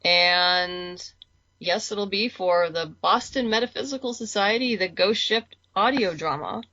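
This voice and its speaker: A woman's voice: medium pitch, measured pace, sharp tone, no echo, lo-fi sound. A woman chatting casually as a guest in an interview. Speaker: interview guest, comics creator. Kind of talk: casual chat.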